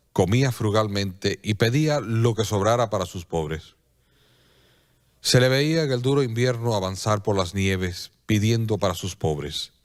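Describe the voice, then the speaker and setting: A man narrating a scripted radio drama as a measured, solemn storyteller. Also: lowish voice.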